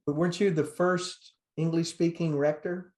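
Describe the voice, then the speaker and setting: A man's voice: a little high, little pitch movement, moderate pace, a little mellow. A man hosting a podcast interview, friendly, with brief affirmations. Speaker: podcast host.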